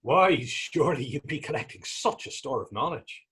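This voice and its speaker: A man's voice: a little high, animated, moderate pace, a bit bright, breathy edge, exaggerated voice. A man playing a curious, sympathetic Irish companion with a poetic streak in a readers theatre drama, speaking in florid old-fashioned phrases with an Irish accent. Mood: supportive. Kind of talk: stage readers theatre acting in dialect.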